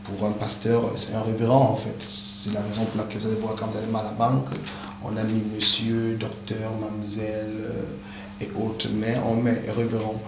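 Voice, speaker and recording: A man's voice: lowish voice, completely flat delivery, moderate pace. Earnest pastor, a man, native English speaker. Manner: earnest, many hesitant fillers. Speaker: pastor, interview guest. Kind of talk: explanatory interview answers. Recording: echoey room with background noise.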